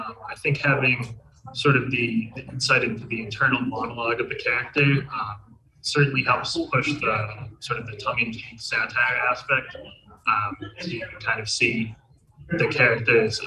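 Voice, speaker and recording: A man's voice: mid-range voice, recorded from a distance, low-quality recording. A man giving casual, hesitant interview answers as a game programmer and writer. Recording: picked up from off the mic.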